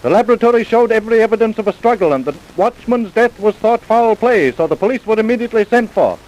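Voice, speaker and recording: An actor narrating an old radio crime drama in an emphatic, declamatory manner. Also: male voice, high-pitched, expressive, fast, a little mellow, rough recording. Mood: grave, emphatic.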